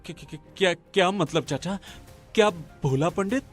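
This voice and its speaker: A man's voice: high-pitched, measured pace, soft background music. A man voicing an earnest, trusting young village husband in a cartoon. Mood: shocked.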